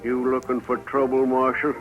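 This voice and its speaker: An American actor playing a cocky, nervy, hot-tempered gunman in a 1950s radio western, with a brash, excitable way of talking. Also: medium pitch, normal speed, narrow, tinny audio, faint music behind, natural voice. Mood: wary. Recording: noisy old 1950s radio recording.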